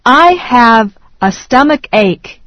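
Slow, deliberate woman reading English. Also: a little high, expressive, a bit bright, no room sound. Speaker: English phrase reader. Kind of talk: slow repeat-after-me English drill. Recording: dry, dull lo-fi studio read.

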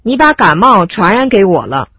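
A woman's voice: mid-range voice, very animated, moderate pace, fairly bright tone, breathy edge, dry recording. A woman expressively reading phrases one at a time in a language drill. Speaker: phrase reader. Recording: dry, dull lo-fi studio read.

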